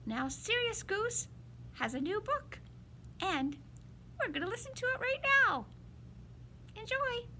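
A woman's voice: very high-pitched, very animated, a little slow, pauses often, pushed and bright, slight background noise, pitched above the performer's own voice, exaggerated voice. A woman voicing a friendly, chatty library mouse puppet in a children's story-time skit, with exaggerated, childlike delivery. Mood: cheerful presenting.

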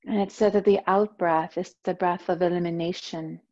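A woman yoga teacher leading a guided meditation, speaking in a slow, soft, soothing way. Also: on the low side, fairly even intonation, muffled recording.

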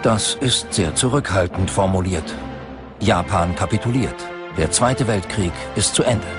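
A man's voice: deep voice, measured pace. A man reading scripted documentary narration as the narrator. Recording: loud music under the voice. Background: music.

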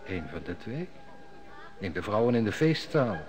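A man's voice: on the low side, big pitch swings, moderate pace, crowd noise. A man playing a cynical, mocking court steward in a radio play, who drinks too much and schemes at court. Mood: scheming. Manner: sardonic, mocking. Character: sly cynical male royal steward, heavy drinker. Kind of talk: 1960s radio drama acting.

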